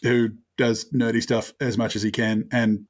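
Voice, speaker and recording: Laid-back New man, medium pitch. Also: flat delivery, normal speed. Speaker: podcast guest player. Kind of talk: casual chat.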